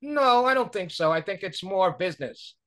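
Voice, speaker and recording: Older man, higher voice, on a video call. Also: expressive, moderate pace, bright, forceful tone. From New York, USA. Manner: rambling, many fillers and restarts. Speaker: guest, author and publicist. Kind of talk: conversational interview. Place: small room at home. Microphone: video call webcam.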